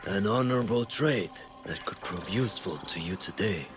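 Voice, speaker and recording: An actor playing a proud, calculating deposed shogun in a game cutscene, speaking formally and with condescension in a gravelly voice. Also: male voice, lowish voice, expressive, normal speed, natural voice. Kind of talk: dramatic video game cutscene acting.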